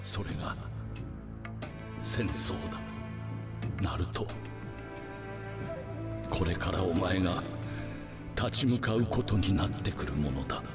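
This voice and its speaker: A man's voice: low-pitched, animated, slow, pauses often, heavily acted. A man playing a somber, weary ninja delivering a solemn, brooding monologue in an anime game, his voice reverberant. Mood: solemn, sorrowful. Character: somber, weary, world-worn male ninja in a vision. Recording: echoing reverberant voice over music.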